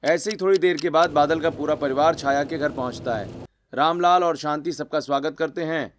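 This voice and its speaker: Higher-voiced male story narrator. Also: fairly quick, slight background noise. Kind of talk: scripted Hindi story narration.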